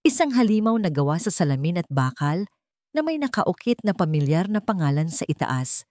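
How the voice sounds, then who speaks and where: Woman, Filipino accent, lowish pitch, dramatic story narrator, close dry recording. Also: sing-song, brisk, darkish tone, dry recording.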